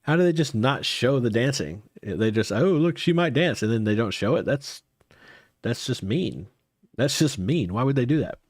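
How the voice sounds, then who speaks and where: Animated man reacting on a livestream. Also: mid-range voice, moderate pace, no room sound, studio quality. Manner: chatty, talks to chat viewers while watching a clip. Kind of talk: casual reaction commentary.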